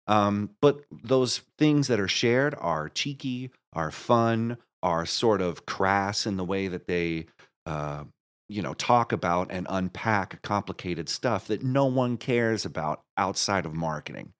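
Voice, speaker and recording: A man answering questions as an interview guest in a casual, rambling way, often halting and interrupting himself. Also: on the low side, lively intonation, smooth. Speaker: interview guest, marketer and musical creator.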